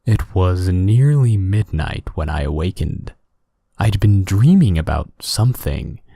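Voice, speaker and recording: A man's voice: low-pitched, very animated, unhurried, creaky, warm tone, breathy edge, no echo, studio quality. A man narrating a creepy first-person story in a relaxing bedtime-story style. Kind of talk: scripted horror story narration, relaxing ASMR-like bedtime reading.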